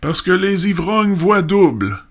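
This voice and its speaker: A pompous old geographer from a storybook, speaks very slowly with a gravelly creak. He weighs out each phrase with pedantic self-importance. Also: high-pitched, very animated, full character voice. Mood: pedantic, self-important. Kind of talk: audiobook story dramatization.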